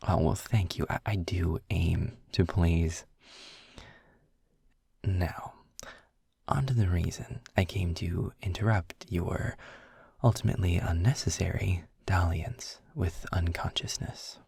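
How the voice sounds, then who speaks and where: Man acting a husky, very low-voiced sarcastic demon. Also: steady tone, darkish tone, no room sound, exaggerated voice. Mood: dryly sardonic. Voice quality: husky, gravelly, breathy. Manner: sarcastic, wordy, dry, deliberate. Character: snarky, weary, erudite male demon guide trapped in hell. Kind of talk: intimate scripted audio roleplay, spoken to the listener.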